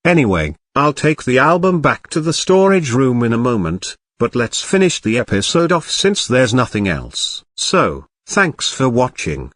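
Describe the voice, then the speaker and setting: A man's voice: mid-range voice, big pitch swings, unhurried, few pauses, a bit bright, dry recording, studio quality. A man hosting a talk show, delivering scripted segments and announcements to viewers. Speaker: show host.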